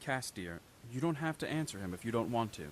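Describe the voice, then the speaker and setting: An actor playing a calm, cautious doctor in a radio drama. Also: male voice, medium pitch, a little fast, slight background noise, lightly acted.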